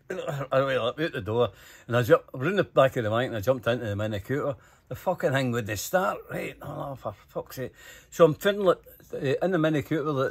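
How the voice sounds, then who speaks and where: A man's voice: mid-range voice, husky. An older man with a Scottish accent telling casual anecdotes, swearing freely and acting out the dialogue as he goes. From Scotland. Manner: animated, sweary, acts out dialogue. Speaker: storyteller.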